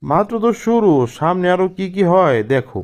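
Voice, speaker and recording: Exasperated young cartoon husband played by a man, high-pitched, quick scolding delivery, Bangladeshi Bengali accent. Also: animated. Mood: scolding, fed up. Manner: scolding, questioning. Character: exasperated young husband, loyal to his family. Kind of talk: cartoon family comedy drama.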